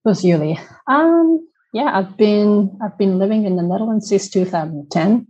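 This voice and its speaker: A woman from Japan whose first language is Japanese, answering interview questions in a hesitant way with many pauses and restarts. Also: medium pitch, animated, mellow, slightly rough, highs cut off. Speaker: podcast guest.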